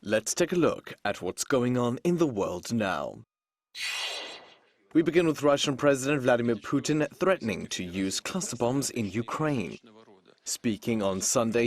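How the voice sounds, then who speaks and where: Man news anchor, steady measured read, mid pitch. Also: slight background noise. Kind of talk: scripted world news reading.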